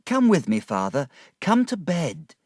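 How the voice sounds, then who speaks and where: A man doing a gentle young woman, raised in pitch. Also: huge pitch swings, a little slow, no echo, clean studio sound, exaggerated voice. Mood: urgent.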